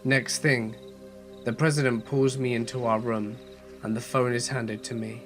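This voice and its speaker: A man narrating horror stories as a channel host, reading in a measured, steady way with a voice slightly off from a cold. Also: medium pitch, soft background music. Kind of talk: scripted horror story narration.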